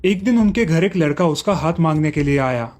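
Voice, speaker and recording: A man narrating a moral story for a cartoon in a steady storytelling manner. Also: fairly high pitch, a little fast, soft background music.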